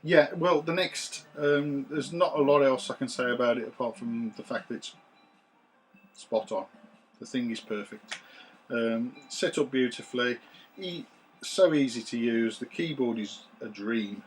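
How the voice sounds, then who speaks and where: Man, thirties, slightly rough recovering voice, relaxed rambling vlog chat, built-in camera mic, faint room hiss. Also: medium pitch, unhurried, rough recording. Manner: relaxed, rambling, enthusiastic with many ums. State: voice recovering from loss, a bit rough. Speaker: retro games collector vlogger. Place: small room full of retro computers.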